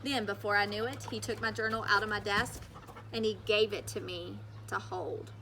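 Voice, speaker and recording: A woman's voice: medium pitch, steady tone, normal speed, background noise. A woman reading a children's story aloud as a teacher, narrating expressively with emphatic, childlike phrasing.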